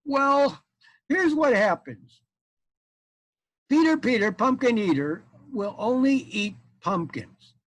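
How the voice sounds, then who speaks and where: A man's voice: extremely high pitch, lively intonation, slow, pauses often, a bit bright, no echo, pitched above the performer's own voice, exaggerated voice, rough recording. A man voicing a distressed, complaining storybook wife with a plaintive, feminine lilt. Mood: fed up, complaining. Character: crying village women and a fed-up trapped pumpkin wife. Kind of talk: live storytelling for an audience.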